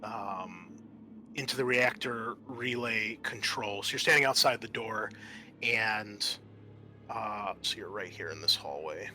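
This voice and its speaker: A man narrating a tabletop roleplaying game as game master, pausing often mid-sentence. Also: lowish voice, bright, forceful tone, slight background noise.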